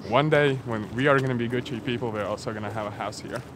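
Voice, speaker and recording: A man's voice: medium pitch, fast talker, background noise. A young man from Latvia, chatting casually and joking through a travel vlog with a few swear words. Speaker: vlogger.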